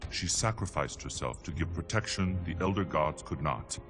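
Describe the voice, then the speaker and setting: A man playing a cunning, obsequious sorcerer who speaks deliberately in a dramatic game style. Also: very deep voice, animated. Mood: insinuating. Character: cunning male sorcerer, smooth-tongued schemer serving an emperor. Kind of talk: dramatic video game acting. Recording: loud music behind the voice.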